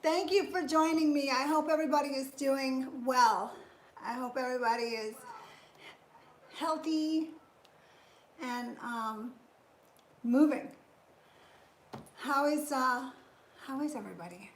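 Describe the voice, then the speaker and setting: Slow-talking high-voiced woman in her fifties. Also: slight background noise. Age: 50s. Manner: chatty, greets viewers by name. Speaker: fitness host. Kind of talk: live-stream casual talk. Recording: echoey room.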